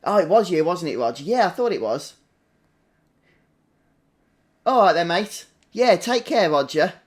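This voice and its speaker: High-voiced British male game streamer. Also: very animated, fairly quick. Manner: chatty, greets viewers individually. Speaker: game livestream host. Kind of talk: casual live gameplay commentary.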